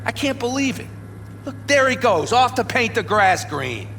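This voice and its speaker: An actor playing a cynical, teasing veteran nomad smuggler in a video game, with a sardonic, animated delivery. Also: male voice, very high voice, normal speed, gravelly, slight background noise, heavily acted. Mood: bitter.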